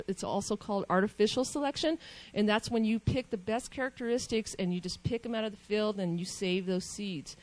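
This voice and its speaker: Quick, casual woman giving a talk. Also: mid-range voice, darkish tone, poor audio. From New Mexico. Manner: quick, informal, frequent ums and restarts. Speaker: panel speaker, organic farmer and doctoral student. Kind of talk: conference talk with slides. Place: conference hall. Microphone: handheld mic.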